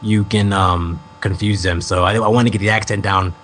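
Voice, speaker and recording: A young American man and musician, a guest in an interview, describing his past in a relaxed, rambling way with many ums. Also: fairly low pitch, normal speed, a little hiss or hum. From United States. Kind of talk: casual interview talk.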